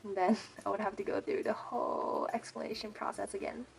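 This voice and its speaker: A woman's voice: medium pitch, fairly even intonation, fairly quick, creaky. A woman in her twenties from Malaysia, a native Mandarin speaker with an American-leaning English accent, chatting casually in a personal vlog with many "um" fillers. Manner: chatty, frequent "um" fillers and little laughs. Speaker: vlogger.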